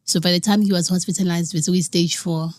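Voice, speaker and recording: A woman's voice: lowish voice, steady tone, fast talker. Earnest South African woman telling her story. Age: young adult. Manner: earnest, mixes Zulu phrases into English. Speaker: guest. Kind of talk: personal story in an interview.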